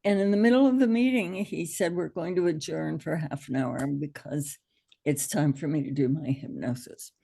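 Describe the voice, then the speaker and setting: A middle-aged American woman with a gravelly, hoarse allergy voice, informally teaching an online hypnosis class in an encouraging, reassuring way. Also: low voice, moderate pace, dark tone.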